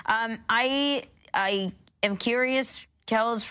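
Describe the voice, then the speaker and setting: A woman's voice: fairly high pitch, very slow, pushed and bright, clear, resonant tone. A woman with a Canadian accent chatting casually as a radio show co-host. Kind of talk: casual conversational chat.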